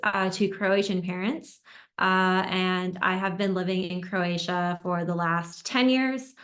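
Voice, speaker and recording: Young american woman, presenting, friendly and halting, video call mic. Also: lowish voice, steady tone, a bit bright. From United States. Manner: friendly, many ums and uhs. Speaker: tour destination expert. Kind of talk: webinar presentation. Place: indoors at home in a room.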